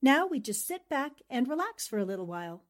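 A woman playing a confident young newspaper columnist in a radio drama, brisk and businesslike. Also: sits high, big pitch swings. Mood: brisk, cheerful.

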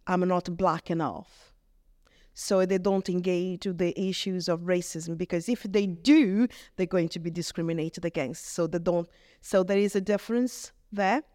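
A middle-aged woman with a Brazilian Portuguese accent, speaking in English as a vicar. She gives an earnest, halting testimony and often restarts her phrases. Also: fairly low pitch, darkish tone, dry recording, clean studio sound. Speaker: vicar, guest. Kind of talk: reflective testimony in conversation.

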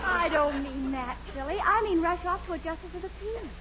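A woman's voice: very high-pitched, lively intonation, fairly quick. An actress playing an eager, lovestruck, determined young sweetheart in a 1940s radio comedy, girlish and gushing. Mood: excited. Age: young adult. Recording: dull, noisy old radio recording.

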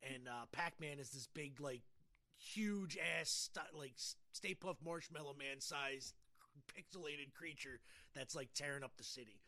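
A man's voice: a little high, unhurried, pushed and bright. A man hosting a casual podcast chat in a rambling, enthusiastic, sometimes profane way. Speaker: podcast host.

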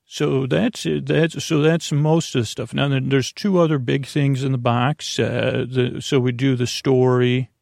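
A man hosting a sleep podcast in a rambling, halting bedtime monologue, with a creaky, gravelly voice. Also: mid-range voice, flat delivery, a little mellow, no room sound, studio quality. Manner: meandering, halting, repeats and trails off. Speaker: podcast host.

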